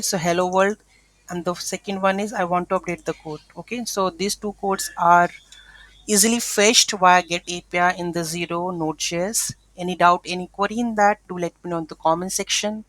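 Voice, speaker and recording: A woman's voice: lowish voice, dry recording, poor audio. A woman hosting an informal coding tutorial, talking through each step aloud as she works. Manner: instructional, thinking aloud step by step. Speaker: tutorial host.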